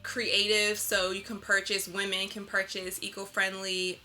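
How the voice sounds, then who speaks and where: A woman speaking as an artist guest in a casual interview, talking in an expressive, conversational way. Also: mid-range voice, unhurried, soft background music.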